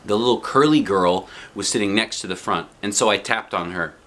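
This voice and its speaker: A man's voice: on the low side, brisk, fairly bright tone, natural voice. A man reading a children's storybook as an anxious, outspoken little kindergarten girl, with blunt, emphatic childlike phrasing. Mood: matter-of-fact, opinionated.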